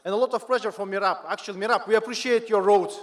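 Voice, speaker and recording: A man's voice: sits high, steady tone, rapid-fire. A man from Kazakhstan asking a fighter for advice, enthusiastic in simple English. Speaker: fan asking a question. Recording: echoey hall with faint noise.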